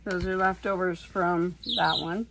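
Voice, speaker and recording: Female craft vlogger, hoarse voice, slow casual chat while working, some background noise. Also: fairly low pitch, level tone. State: hoarse, losing her voice. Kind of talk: casual chatty bead craft tutorial.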